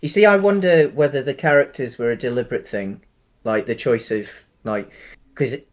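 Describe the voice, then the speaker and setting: A man's voice: medium pitch, moderate pace. A man co-hosting a casual film discussion, talking in an explanatory, hedging way. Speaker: podcast co-host.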